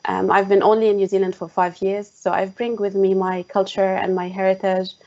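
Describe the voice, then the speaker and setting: A woman with a Jordanian Arabic accent speaks in a middle pitch on an online call. She pauses often to fill gaps with "um" and restarts her sentences as she explains her views. The sound is muffled and lo-fi. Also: level tone, warm tone. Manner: hesitant, many "um" fillers. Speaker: tech specialist guest. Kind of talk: online panel conversation. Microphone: video call.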